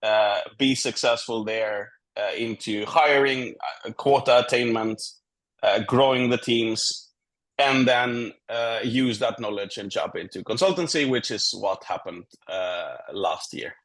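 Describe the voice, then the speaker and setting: Measured, candid man in a business interview. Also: mid-range voice, fairly bright tone. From Greece. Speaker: startup co-founder and consultant, interview guest.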